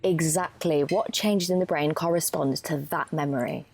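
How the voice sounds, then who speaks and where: A woman's voice: low-pitched, normal speed, gravelly, darkish tone, breathy edge, full character voice. A young woman, a student actor, playing an earnest, matter-of-fact lab scientist explaining research in a naturalistic audio drama. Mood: earnest, explanatory. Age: young adult.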